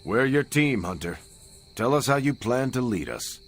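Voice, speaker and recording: A man acting an earnest, steadfast soldier-hero in a video game cutscene, speaking in a sincere, steady way. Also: mid-range voice, normal speed, faint music behind. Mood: earnest, supportive.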